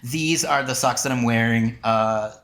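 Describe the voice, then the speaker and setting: A man's voice: medium pitch, measured pace, fairly bright tone. An American man co-hosting a podcast in casual, joking, rambling chat. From southern United States. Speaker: podcast co-host. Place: indoors on a video call. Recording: roomy, echoey sound.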